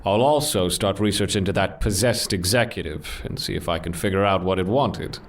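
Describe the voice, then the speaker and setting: An actor playing a scholarly male scientist, speaking in a precise, deadpan, pedantic way in an audio drama. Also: deep voice, moderate pace. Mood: dry, analytical. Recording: noisy street traffic ambience.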